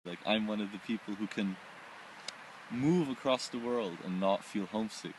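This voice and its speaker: An Austrian man who grew up in several countries, answering personal questions reflectively in short phrases with pauses. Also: lowish voice, a little hiss or hum, rough recording. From born in Oman, Austrian, grew up in several countries. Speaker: interviewee.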